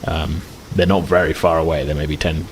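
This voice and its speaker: A man's voice: very deep voice, flat delivery, normal speed, slight background noise. A man running a tabletop game as game master, narrating loosely with lots of hedges and fillers. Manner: hedging, filler-heavy, easygoing.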